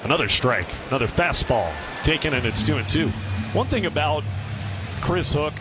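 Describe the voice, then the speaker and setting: A man's voice: fairly high pitch, normal speed, over music. A man with an American accent calling a live baseball game on the radio as play-by-play announcer. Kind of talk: live radio sports commentary. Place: stadium broadcast booth. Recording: noisy ballpark ambience behind. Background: steady ballpark noise.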